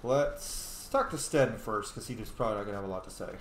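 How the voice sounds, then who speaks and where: A man's voice: lowish voice, animated, fairly quick. A man hosting a casual video game roleplay playthrough, chatting to himself as he plays. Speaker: roleplaying gamer, host of the playthrough. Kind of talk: casual game playthrough with in-character line reading. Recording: echoey room with faint noise.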